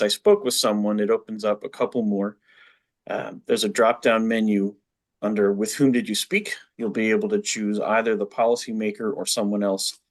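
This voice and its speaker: Guy with a lowish voice walking people through an online tool step by step, like a training webinar. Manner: methodical step-by-step explaining. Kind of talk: explanatory software walkthrough.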